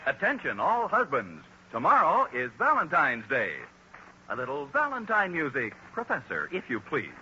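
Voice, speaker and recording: A man's voice: fairly high pitch, huge pitch swings, moderate pace. An American man announcing a 1940s radio show in a theatrical, mock-solemn way, reading scripted narration. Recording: muffled old radio broadcast with music.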